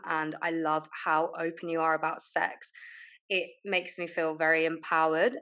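A woman's voice: deep voice, fairly bright tone. A woman hosting a dating podcast, chatty and enthusiastic as she interviews her guest. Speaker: podcast host.

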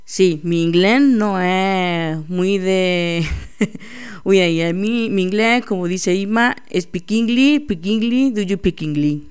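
A woman's voice: mid-range voice, slow, smooth. A woman hosting a local radio show in a chatty, laughing, self-deprecating way. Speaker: radio host. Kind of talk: casual local radio chat.

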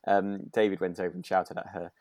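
A man's voice: low voice, flat delivery, brisk, a little mellow, dry recording, studio quality. A man co-hosting a podcast, chatting casually and agreeably in a rambling, hesitant way. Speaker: podcast co-host. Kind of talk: relaxed casual discussion of a radio drama.

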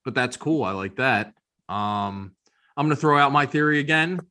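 A man's voice: medium pitch, expressive, moderate pace, pushed and bright. Casual male podcast co-host, informal and opinionated. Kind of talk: casual chat.

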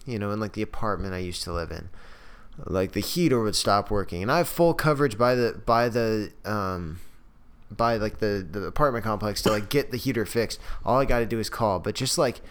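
A man's voice: on the low side, brisk, clear, resonant tone. A young man co-hosting a podcast, talking casually and rambling with lots of fillers. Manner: animated, rambling with many fillers. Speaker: podcast co-host. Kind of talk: casual chat.

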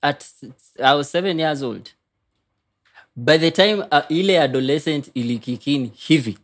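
A man's voice: fairly high pitch, normal speed. A man with a Kenyan accent, an interview guest telling personal stories casually, mixing English and Swahili and repeating words for emphasis. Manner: code-switches between English and Swahili.

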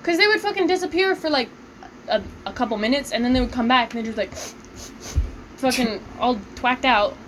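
A woman's voice: sits high, brisk, fairly bright tone, slight background noise, low-quality recording. Young woman, swearing and laughing. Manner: heavy swearing, laughing storyteller. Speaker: podcast co-host. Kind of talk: casual chat among friends.

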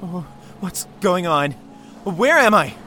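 A man's voice: sits high, big pitch swings, slow, sharp tone, slight background noise, full character voice. A man playing a troubled, easily frightened young man in an audio drama. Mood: anxious.

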